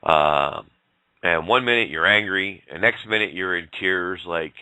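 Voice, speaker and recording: A male host of a casual call-in talk show, rambling in a folksy way and getting hoarse. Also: deep voice, a little slow, pushed and bright, dry recording. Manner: rambling, folksy, laughs often.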